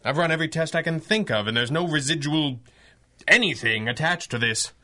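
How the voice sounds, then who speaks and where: An actor playing a clever masked crime fighter in a 1930s-style radio adventure, speaking formally with dry understatement and command. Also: male voice, on the high side, animated, a little fast, sharp tone. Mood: frustrated. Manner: formal, wordy, dryly understated. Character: masked male mystery-man hero, clever, dry, commanding.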